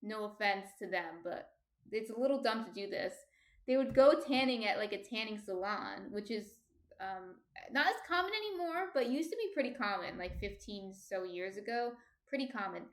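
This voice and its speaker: A soft-spoken woman in her thirties with a New Jersey accent, casually chatting and answering viewer comments on her stream. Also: mid-range voice, expressive, moderate pace. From New Jersey, USA.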